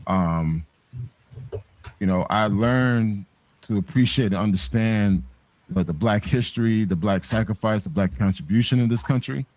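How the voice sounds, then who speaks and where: A man's voice: fairly low pitch, measured pace, darkish tone, low-quality recording. A middle-aged American man of Haitian background in a casual, opinionated discussion, speaking emphatically with repeats and swearing. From United States, Haitian background. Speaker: talk show participant.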